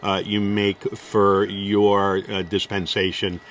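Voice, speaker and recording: Brooklyn man hosting, lowish voice, halting with uh fillers, opinionated. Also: little pitch movement, fairly bright tone, slight background noise. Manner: hesitant, many uh fillers, opinionated. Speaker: host. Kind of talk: casual interview.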